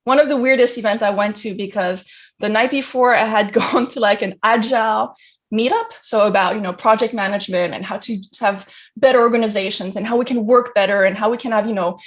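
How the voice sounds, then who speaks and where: A woman's voice: medium pitch, a little fast. Conversational young woman from Switzerland. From Geneva, Switzerland. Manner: conversational, effusive, frequent "like" and "you know". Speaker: guest speaker, crypto entrepreneur. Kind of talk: informal autobiographical talk.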